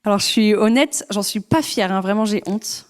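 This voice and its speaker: A woman's voice: mid-range voice, moderate pace. Woman interpreting a sermon live. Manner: relaxed interpreting with fillers like euh.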